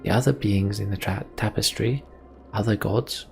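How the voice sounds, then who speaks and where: A man voicing a calm, grave female sorcerer in a fantasy game, telling the story in a measured, deliberate way. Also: lowish voice, gravelly. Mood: solemn, explanatory. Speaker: let's play host reading game dialogue aloud. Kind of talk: voiced reading of fantasy RPG dialogue. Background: game music.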